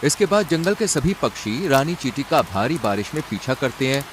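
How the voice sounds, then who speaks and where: A man's voice: mid-range voice, big pitch swings, normal speed, slight background noise. A man narrating a scripted cartoon story.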